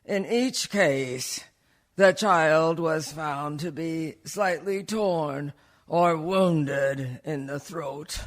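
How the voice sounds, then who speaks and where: A man playing a measured, formal reader of newspaper reports in a radio play. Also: fairly high pitch. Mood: formal, ominous. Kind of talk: scripted radio play narration.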